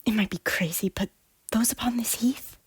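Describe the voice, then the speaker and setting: A woman playing a remorseful former queen who refuses a ghost's orders, often hushed and whispering. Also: fairly high pitch, moderate pace, breathy edge, no room sound. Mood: secretive. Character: guilt-ridden former Scottish queen, defiant yet remorseful. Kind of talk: amateur audio drama acting. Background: faint music.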